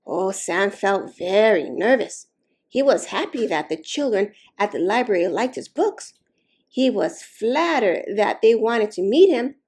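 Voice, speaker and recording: A woman reading a children's story aloud expressively, with slow, deliberate, dramatic emphasis on key words. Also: medium pitch, very animated, a little mellow, breathy edge. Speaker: storytime reader.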